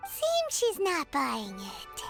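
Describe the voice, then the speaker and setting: A woman voicing a tiny, childlike, cheeky fairy companion in a video game, squeaky and blunt. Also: huge pitch swings, drawn-out words, vocal fry, faint music behind, full character voice. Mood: flustered, doubtful. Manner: squeaky, blurts things out in third person.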